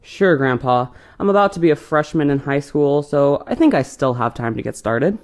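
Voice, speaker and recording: Man playing a higher-voiced, casual teenage grandson. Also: expressive, normal speed. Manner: casual, flippant. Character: cocky, easygoing teenage grandson, about fourteen. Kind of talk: audio play acting.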